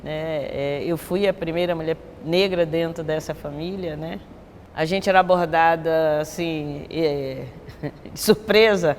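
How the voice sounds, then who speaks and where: A woman's voice: deep voice, a little slow, in a large hall, a little hiss or hum. A woman in her sixties with a Bahian accent, a capoeira master speaking reflectively in an interview and often ending with a tag question. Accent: Bahian Portuguese. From Bahia, Brazil. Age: 60s. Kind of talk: recorded interview testimony.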